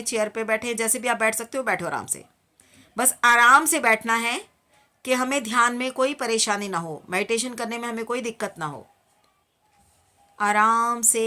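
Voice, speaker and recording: Female meditation guide: higher-pitched, clear-edged bright tone, calm and soothing instructional delivery. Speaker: meditation teacher.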